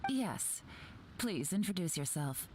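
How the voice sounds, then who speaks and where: A woman's voice: fairly low pitch, sing-song, slow, a little mellow, slightly rough, heavily acted. Cool, sly commanding woman. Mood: businesslike. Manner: composed, teasing, authoritative. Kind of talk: video game voice acting.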